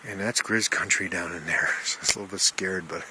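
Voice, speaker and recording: A man with a fairly low voice gives a casual, folksy walk-through in the manner of a hobbyist. He rambles as he explains, speaking into a handheld camera outdoors. The recording carries clear background noise. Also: steady tone, a little fast, sharp tone. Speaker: amateur radio hobbyist. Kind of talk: casual handheld video tour. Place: outdoors in wooded mountain country. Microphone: handheld camera microphone. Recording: noisy outdoor camera audio.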